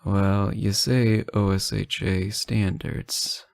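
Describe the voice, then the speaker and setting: A man playing a sleep-deprived, teasing boyfriend on an intimate late-night call, soft-spoken, hesitant and gently joking. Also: on the low side, little pitch movement, slow pace, creaky, dry recording, clean studio sound. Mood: tired, defensive. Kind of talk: intimate audio roleplay, one side of a phone call.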